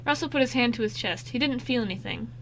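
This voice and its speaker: A woman's voice: on the high side, fast, fairly bright tone, a little hiss or hum, poor audio. A woman narrating a scripted amateur radio drama, now and then stumbling over the script.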